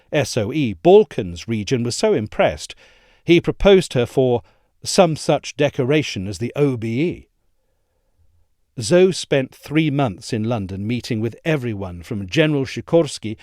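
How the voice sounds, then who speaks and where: A man giving a measured, articulate scripted narration of a history essay. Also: mid-range voice, darkish tone, no room sound, studio quality. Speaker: essay reader.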